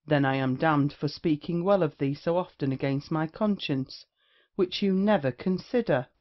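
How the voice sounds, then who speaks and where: An actor playing a brazen, witty rake in a period stage comedy, declaiming with mocking insolence. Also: male voice, on the high side, moderate pace, muffled recording, lightly acted. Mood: scornful. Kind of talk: theatrical period comedy acting.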